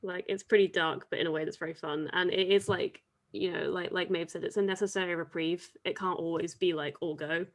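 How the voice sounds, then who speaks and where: A woman's voice: on the low side, fairly even intonation, brisk, hardly any pauses. A woman giving casual feedback in a loose, hesitant way, with many likes and you-knows.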